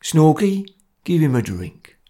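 A man's voice: fairly high pitch, big pitch swings, slow, mellow, pitched above the performer's own voice, full character voice. A man voicing a grim, bullying conspirator with a severe manner and a working-class London accent. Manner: severe, laconic, mock-official.

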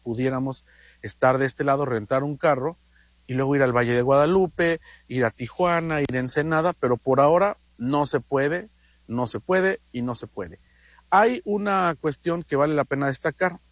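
A man's voice: medium pitch, normal speed, dry recording. A man with a Mexican accent talking conversationally as a radio host, explaining travel matters and repeating phrases for emphasis.

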